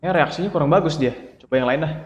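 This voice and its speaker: A man's voice: fairly high pitch, racing, muffled recording, slight background noise. A man with a colloquial Jakarta Indonesian accent giving casual gameplay commentary as a streamer. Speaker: game streamer. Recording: echoey reverberant mic, game music behind.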